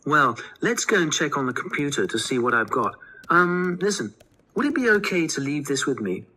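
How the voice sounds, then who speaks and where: An actress playing a helpful, businesslike homestay office clerk asking questions in a scripted test dialogue. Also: female voice, sits low, very animated, normal speed, sharp tone, heavily acted, rough recording.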